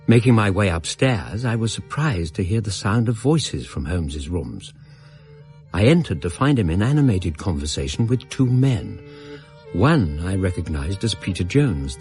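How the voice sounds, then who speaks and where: Deep-voiced English gentleman narrator. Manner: measured, literary, storytelling. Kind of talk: scripted radio drama narration.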